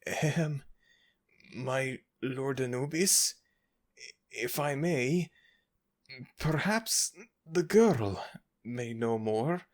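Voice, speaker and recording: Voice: medium pitch, expressive, very slow, pauses often. An actor voicing a nervous young male servant who speaks deferentially and stammers slightly, in an ASMR audio roleplay. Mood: timid.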